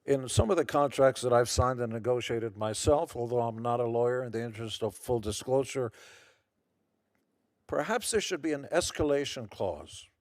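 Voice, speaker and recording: A man's voice: mid-range voice, moderate pace, dry recording. A man testifying as an artist witness at a hearing, speaking in a fairly informal, explanatory way.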